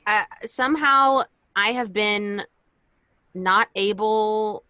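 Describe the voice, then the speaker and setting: A woman's voice: medium pitch, slow pace, bright, forceful tone, clean tone, no room sound. A woman co-hosting a podcast, chatting casually in a playful, self-deprecating way. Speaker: podcast co-host. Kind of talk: casual chat.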